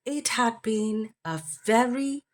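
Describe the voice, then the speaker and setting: A woman reading a children's picture book aloud in an expressive, deliberate bedtime storytelling manner. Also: on the high side. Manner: expressive, deliberate phrasing with animal sound imitations.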